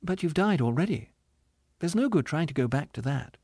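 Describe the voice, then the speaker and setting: A bright heavenly spirit in a storybook, as a man acts it out. It speaks somewhat high with animated, rising and falling phrases, kindly, patient and earnest as it coaxes. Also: moderate pace, breathy edge, no room sound, clean studio sound. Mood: earnest reassurance. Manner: gentle, earnest, coaxing. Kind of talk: audiobook character voice.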